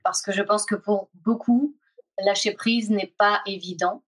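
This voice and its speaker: Woman guest, medium voice, thinks out loud, lots of pauses and fillers. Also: medium pitch, fairly even intonation. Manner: reflective, halting, many fillers. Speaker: guest, a reader of the book. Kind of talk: casual interview answer.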